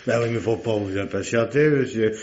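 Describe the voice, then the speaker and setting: A man's voice: fairly low pitch, fairly quick, darkish tone, lightly acted. An actor playing a chatty, folksy office doorman, deferential yet cheeky, full of interjections, in an old radio drama. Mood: grumbling. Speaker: doorman and receptionist. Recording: dull, noisy vintage radio recording.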